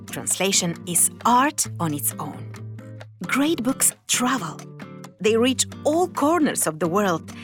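A woman whose first language is Kazakh, giving a scripted podcast narration as a language researcher in a measured, explanatory read with rhetorical questions. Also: mid-range voice.

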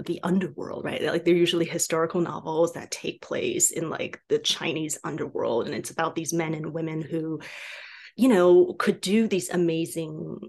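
A woman from Taiwan, a native Mandarin speaker, talking as a guest novelist in a conversational podcast interview, halting as she thinks aloud and often saying "like" and "you know". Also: sits low, vocal fry.

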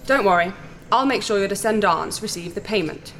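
Massive insectoid princess played by a woman: regal, blunt, oddly formal diction, mid-pitched, sci-fi audio drama. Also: lively intonation, fairly bright tone, a little hiss or hum. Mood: lofty, matter-of-fact. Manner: formal, deliberate, regal diction with odd "ah" sounds. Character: massive female insectoid alien princess, imperious, blunt, earnest.

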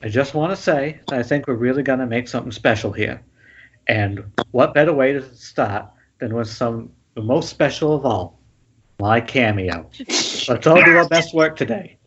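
A man's voice: mid-range voice, animated, normal speed, natural voice. A man playing a nervous, self-important writer directing his first film, chummy and casual. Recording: muffled, noisy internet call.

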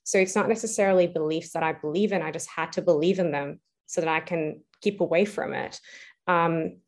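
A young woman with a slightly low voice is answering interview questions about her own path. She speaks casually and thinks out loud, using plenty of fillers and restarting her sentences. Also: brisk. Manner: reflective, many fillers and restarts. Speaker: guest, entrepreneur.

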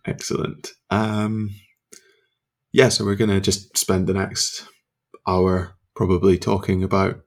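A man co-hosting a podcast from his home study, talking quietly and chuckling often. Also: deep voice, level tone, takes it slowly. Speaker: podcast co-host. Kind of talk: casual chat.

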